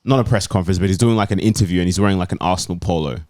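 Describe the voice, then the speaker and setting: Low-voiced man, casual football chat. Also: rapid-fire, darkish tone, no room sound, clean studio sound. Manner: informal, slangy. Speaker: football podcast panelist. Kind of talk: casual anecdote telling and banter.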